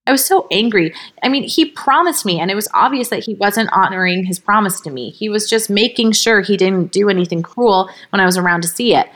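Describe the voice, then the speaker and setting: A woman reading a story aloud as a podcast host, informal and expressive, ready to step out of the narration for asides. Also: mid-range voice, brisk, few pauses. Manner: expressive, breaks character, giggly slips and asides.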